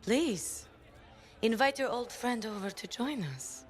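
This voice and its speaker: An actress playing an imperious female smuggler who calls herself a princess, speaking in a slow, regal and menacing way in a video game cutscene. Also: a little high. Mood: commanding. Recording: game audio with music score.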